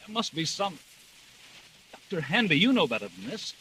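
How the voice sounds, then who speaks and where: An actor playing an agitated, defensive husband under suspicion in a 1940s radio murder drama. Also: male voice, a little high, sing-song, normal speed, bright, forceful tone, a little hiss or hum, low-quality recording. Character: agitated, defensive husband suspected of killing his wife.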